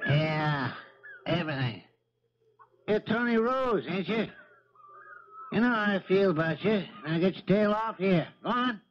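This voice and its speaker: An actor playing a gruff, folksy old charter boat captain in a 1970s radio drama. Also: male voice, high voice, lively intonation, unhurried, pauses often. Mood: irritated. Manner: folksy, blunt, suspicious. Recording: dull 1970s radio recording, faint noise.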